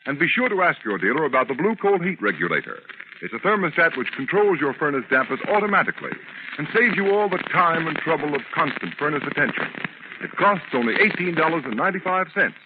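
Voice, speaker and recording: A man's voice: on the high side, very animated, fast talker. A man announcing a scripted 1930s radio commercial in an emphatic, formal sales style. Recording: tinny, hissy 1930s radio recording.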